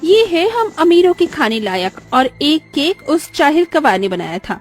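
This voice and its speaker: A woman's voice: sits high, big pitch swings, moderate pace, faint music behind, voice pushed up. A woman voicing a haughty, dramatic rich young daughter-in-law in a moral-story cartoon, exclaiming theatrically. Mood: smug.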